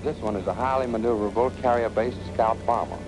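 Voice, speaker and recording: A man's voice: mid-range voice, fairly even intonation, quick pace, low-quality recording. An American man narrating a 1940s military training film in a punchy, colloquial, dramatic storytelling manner. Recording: 1940s film soundtrack with music.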